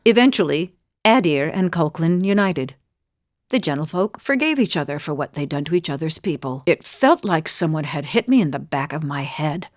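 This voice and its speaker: A woman's voice: fairly low pitch, no echo, clean studio sound, natural voice. A woman playing a weary, wry middle-aged adventurer in a video game, confiding in a plain-spoken way. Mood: pensive. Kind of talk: video game character dialogue.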